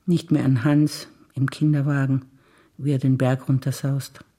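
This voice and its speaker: An older woman, an actress reciting memories in a quiet, measured way on stage. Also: very deep voice, little pitch movement.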